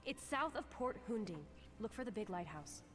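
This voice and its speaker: A woman's voice: a little high, lively intonation, normal speed, fairly bright tone, soft background music. A woman voicing an eager, businesslike young heist crew member in a video game. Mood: brisk. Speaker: game character.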